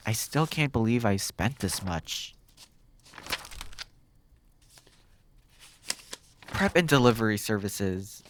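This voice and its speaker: A man playing a flirty, teasing demon captor in an ASMR roleplay, musing in drawn-out phrases. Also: medium pitch, slight background noise. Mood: exasperated. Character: flirty, teasing male demon captor, smug then exasperated.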